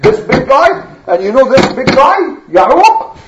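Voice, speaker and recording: A woman voicing an indignant, pompous male swindler who serves idols, loud, scornful and boastful, in a children's storytelling lesson. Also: sits high, sing-song, unhurried, voice pushed up. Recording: echoey room, dull sound, faint noise.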